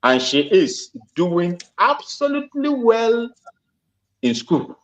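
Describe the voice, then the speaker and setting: A man, an Igbo speaker, calling in and talking politely in a halting way. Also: sits high, sing-song. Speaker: caller. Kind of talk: casual call-in talk.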